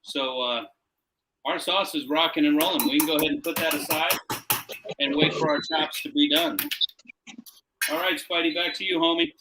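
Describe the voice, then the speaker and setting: Slangy male cooking host over clear noise. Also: a little high, fairly even intonation, normal speed, pauses often. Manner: slangy, hyping the food. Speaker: host chef of a live cooking show. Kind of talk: casual live cooking demonstration chat.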